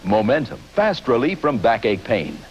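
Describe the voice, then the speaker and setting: A man's voice: medium pitch, sing-song, moderate pace, natural voice. A man playing a matter-of-fact announcer in a vintage television advert demonstration. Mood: brisk, assured. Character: matter-of-fact commercial announcer questioning a backache sufferer. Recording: dull, faintly noisy old TV ad.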